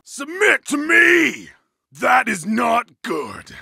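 An actor playing a brutal bearded berserker warrior in a video game, bellowing taunts and pained cries. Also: male voice, very high voice, wildly swooping pitch, drawn-out words, creaky, husky, no echo, studio quality, exaggerated voice. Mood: fierce. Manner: bellowing, taunting battle shouts. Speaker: game character. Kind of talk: video game combat barks.

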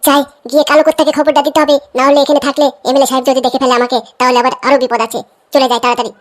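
A woman voicing excitable, foul-mouthed cartoon gangsters, blustering and repeating phrases in a comic dubbing style. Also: high voice, racing, close to an everyday voice. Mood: alarmed.

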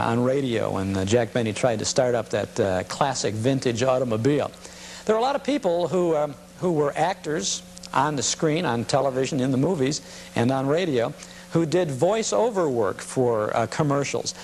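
An American man presents old clips informally, reminiscing in a halting way. His voice sits at a middling pitch, with a faint noisy hum behind it. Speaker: presenter of vintage broadcasting programme. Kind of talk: informal spoken introduction to film clips. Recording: steady hum and noise.

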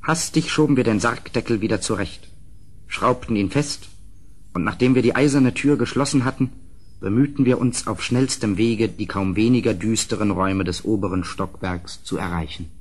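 An actor playing an uneasy gentleman who narrates a gothic radio play in a measured, ominous, slightly husky voice. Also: fairly low pitch. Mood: somber, ominous.

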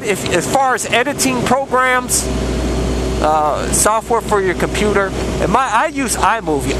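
A man vlogging from his motorcycle on country roads, talking bluntly and rambling with plenty of cussing, picked up by a helmet mic. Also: high-pitched. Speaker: motovlogger. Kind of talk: casual riding commentary. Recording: loud wind and road noise from a helmet mic. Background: loud wind and engine noise.

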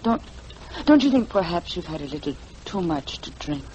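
Guarded widow, formal n evasive, cool, faint noise like old 40s radio drama. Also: female voice, on the low side, big pitch swings, normal speed, mellow. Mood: guarded, indignant. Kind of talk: 1940s radio acting. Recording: faintly noisy 1940s radio recording.

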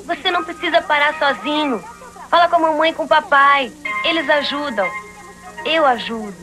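A woman's voice: high voice, moderate pace, music in the background, natural voice, rough recording. A woman voicing a sweet little child in a dubbed cartoon, earnest and pleading. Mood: supportive. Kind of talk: dubbed cartoon drama acting.

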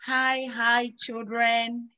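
A middle-aged woman, hosting a children's Bible lesson over a video call and slowly drilling memory verses in a sing-song teacher voice. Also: a little high, flat delivery, sharp tone. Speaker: host of a children's Bible program.

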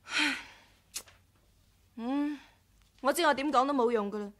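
A woman's voice: high voice, a little slow, fairly bright tone. An actress playing a strong-willed, outspoken woman in a Cantonese radio drama, frank and pointed in manner. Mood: exasperated, scolding.

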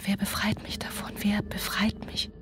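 A woman's voice: medium pitch, monotone, moderate pace, fairly bright tone, lightly acted. An actress playing a fearful, subdued woman in a radio play, often near a whisper.

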